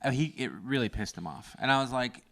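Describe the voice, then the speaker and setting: A man from Canada co-hosting a casual chat, telling stories and often jumping into loud, high exclamations. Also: fairly quick, creaky. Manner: animated storyteller, bursts into loud high exclamations and sung bits. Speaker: podcast co-host.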